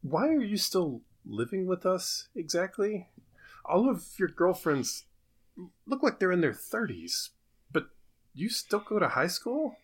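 A man's voice: high voice, sing-song, breathy edge, pitched above the performer's own voice, heavily acted. Man playing a stammering, flustered minister dad. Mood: bewildered. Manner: stammering, halting, hammy pauses. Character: earnest, flustered middle-aged minister father. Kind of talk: parody table read of TV family drama.

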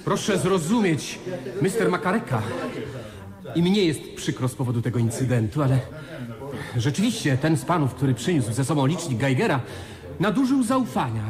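An indignant company deputy in a radio play. His highish voice swings widely as he makes formal, measured statements with a crowd murmuring around him. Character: indignant, formal male deputy of a mining boss. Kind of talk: Polish radio play acting.

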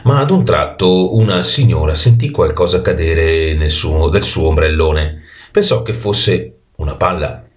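A man reading a children's story expressively, with animated delivery and the odd stumble over words. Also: extremely low pitch, unhurried.

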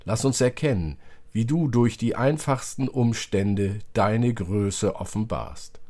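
A man's voice: lowish voice, slow pace, dark tone. A man reading psalm and prayer as a church service leader, solemn and declamatory.

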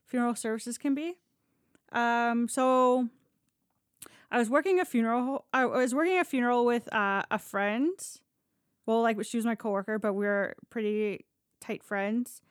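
Higher-voiced Canadian woman telling casual stories. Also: normal speed, smooth, dry recording, clean studio sound. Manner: candid, profane, quoting dialogue as she goes. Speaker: podcast host telling work stories. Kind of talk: casual conversational storytelling.

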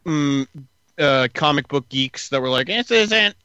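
A man with a bright, forceful voice co-hosts a casual movie review chat. He reads out scores and quotes with halting fillers and stumbles. The sound is dry and close, with no room echo. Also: fairly high pitch, lively intonation, clean tone, muffled recording. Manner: halting, many fillers when reading. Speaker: podcast co-host.

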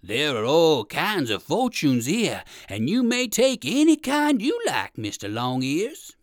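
A woman voicing a sly, hungry big bear in a children's storybook, coaxing with quiet menace. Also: low-pitched, huge pitch swings, no echo, clean studio sound, full character voice. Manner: slow, coaxing, menacing salesman.

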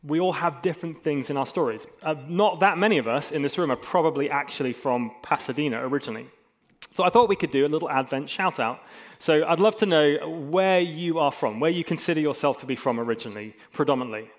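A man's voice: fairly high pitch, quick pace. A man preaching an informal, conversational sermon as a pastor, with self-deprecating humour. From England and Hong Kong.

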